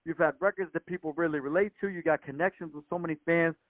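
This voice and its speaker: A man with a fairly high voice hosts a radio interview in a casual, conversational style. The sound is dry and somewhat dull, as on a conference call. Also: fairly even intonation, fast talker, no room sound. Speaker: radio show host, interviewer. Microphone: phone or call line.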